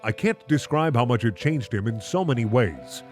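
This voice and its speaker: An earnest, naive girl narrator read by a man in a male register tells an amateur story. The intonation is lively, with faint music behind. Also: mid-range voice, moderate pace, natural voice. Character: naive, plain-spoken teenage girl telling her story.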